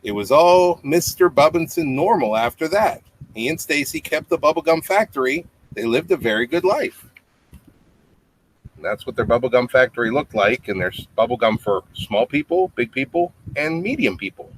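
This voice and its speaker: A middle-aged man with a medium-pitched voice reads a children's story aloud at home. He moves between the written text and casual, simple explanations for children. The webcam recording has clear background noise. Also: very animated, moderate pace. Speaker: storybook reader, parent. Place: small indoor home room with low tiled ceiling. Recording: clearly noisy home webcam audio with clicks.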